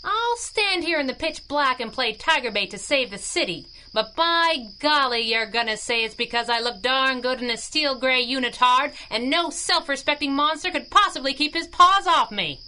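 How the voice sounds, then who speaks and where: Plucky female crime-fighting sidekick for period radio serial: high, sharp voice, saucy wisecracks, slightly noisy recording. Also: lively intonation, normal speed, few pauses, full character voice. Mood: sassy, indignant. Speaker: voice actor in a radio drama. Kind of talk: 1930s-style adventure radio acting.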